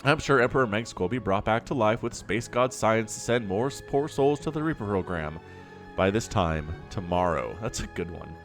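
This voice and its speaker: Solo caster with a cold, sniffly; animated, chatty, wide-ranging pitch, faint music bed. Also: male voice, normal speed. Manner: chatty and animated, goes off on jokey tangents. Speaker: StarCraft shoutcaster. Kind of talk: solo game commentary.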